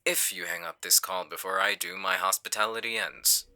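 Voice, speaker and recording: A man playing a calculating, menacing villain in an audio roleplay, with a smug, condescending, deliberate delivery. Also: deep voice, steady tone, pushed and bright. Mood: calm menace. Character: smooth-talking male villain, calculating, menacing leader. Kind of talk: audio roleplay acting on a phone call.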